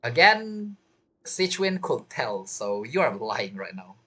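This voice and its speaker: Low-voiced woman commenting over a game. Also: very animated, slow, a bit bright, slightly rough. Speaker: streamer reacting to a game. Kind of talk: casual playthrough commentary.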